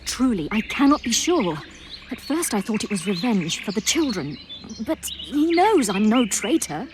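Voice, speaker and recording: A woman's voice: on the high side, very animated, normal speed. A woman playing a guarded, shaken survivor in a fantasy video game, speaking earnestly and somewhat formally. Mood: anxious, defensive. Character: guarded, shaken female survivor and protector of royal children. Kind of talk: dramatic video game acting. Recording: music behind the voice.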